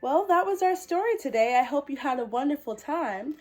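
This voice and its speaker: A woman hosting a children's storytime, reading and talking to young viewers in an expressive, sweet manner. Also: sits high, brisk, soft background music. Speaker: children's storytime host dressed as a princess character. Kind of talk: animated children's picture-book reading and direct address to young viewers.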